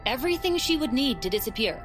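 A woman playing a cool, practical bounty hunter, measured and understated, in an audio drama. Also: sits high, big pitch swings, music in the background. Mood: cool, thoughtful.

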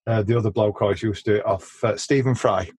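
A man's voice: on the low side, steady tone, quick pace, darkish tone, a little hiss or hum. Chatty male podcast host. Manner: chatty, repeats words while starting sentences. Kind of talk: casual chat.

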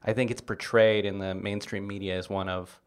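A man in his forties speaks as a comedy podcast guest. He tells animated stories with dry asides and laughs often. His voice sits a little low. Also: a little fast, clear, resonant tone. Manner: animated storyteller, wry jokes, laughs often. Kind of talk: casual podcast chat.

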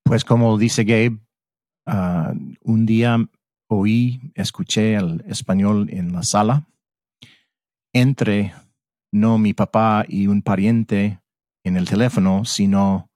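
A middle-aged American man with a fairly low voice and an American English accent tells his story slowly. He pauses often to find his words in his second language, and the sound is close and dry with no room around it. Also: fairly even intonation, mellow, dry recording. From Mississippi, USA. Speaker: podcast guest. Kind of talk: casual interview chat.

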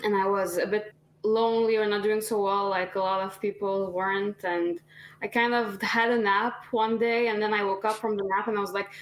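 A woman in her twenties talks as a guest on a casual podcast. Her voice sits at an ordinary middle pitch. She rambles in a friendly way, full of fillers and restarts. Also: steady tone, moderate pace, poor audio. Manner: chatty, many fillers and restarts. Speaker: singer-songwriter guest.

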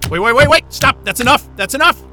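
An actor playing an excitable, sarcastic, foul-mouthed astrophysicist in a naturalistic audio drama. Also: male voice, extremely high pitch, fast, over music. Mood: excited.

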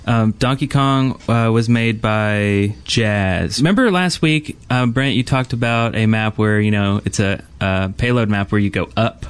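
A man's voice: fairly low pitch, a little slow, few pauses, clear, resonant tone, no room sound. Guy on a gaming podcast, enthusiastic, casual chat, dry close sound. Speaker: podcast co-host.

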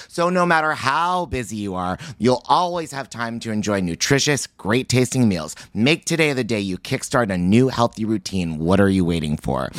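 A man's voice: mid-range voice, no echo, clean studio sound. A man hosting a podcast, reading an ad and then asking loose, conversational questions. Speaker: podcast host. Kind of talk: ad read and casual interview questions.

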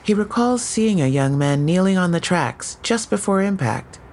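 A woman's voice: on the low side, expressive, moderate pace, a little mellow. Earnest, precise policewoman. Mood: sober, factual. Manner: matter-of-fact, precise reporting. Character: earnest female police patrol officer. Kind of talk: audio drama dialogue.

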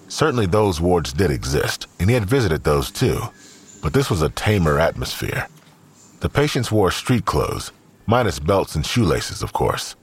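Very raspy, deep male narrator. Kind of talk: scripted narration of an audio drama.

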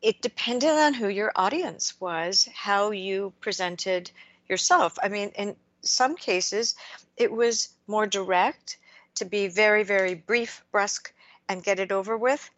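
A woman hosting a radio interview, speaking in a measured, reflective way and asking long framing questions. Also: mid-range voice, a bit bright, no echo, highs cut off. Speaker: radio show host.

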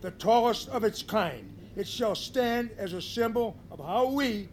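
A self-important townsman from an audio comedy. His voice is very high, yet he speaks in solemn, formal, oratorical phrases. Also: animated, measured pace, a little hiss or hum. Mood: solemn, grand. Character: earnest, self-important townsman with grand ideas. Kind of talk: family audio musical comedy acting.

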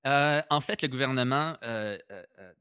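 A man's voice: mid-range voice, normal speed, bright, forceful tone, clean tone, no echo, studio quality. A man with a Québécois French accent explaining policy in a casual discussion, repeating words as he thinks and mixing in English terms. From Quebec, Canada.

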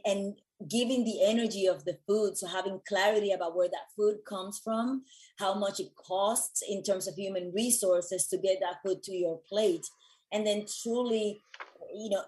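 A woman from Puerto Rico speaking as an interview guest and wellness educator, telling things in an earnest, expressive, conversational way. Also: on the low side, low-quality recording.

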